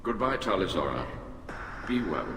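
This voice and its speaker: A dignified alien admiral from a video game, grave and principled. His lowish voice moves widely in pitch as he delivers formal, measured oratory. It rings in a long reverberant space over loud music. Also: big pitch swings, a little hiss or hum. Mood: solemn.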